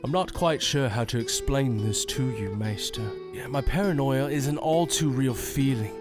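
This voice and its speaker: An actor playing a young, wary sheriff in a fantasy audio drama, formal and grave. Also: male voice, medium pitch, natural voice.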